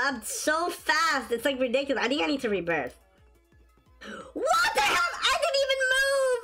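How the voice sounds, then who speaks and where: Excitable woman as gaming host, raspy sore throat, lively high voice, faint music bed. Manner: excitable, shrieks and laughs at wins and deaths. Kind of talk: casual gameplay commentary. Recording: faint game music behind.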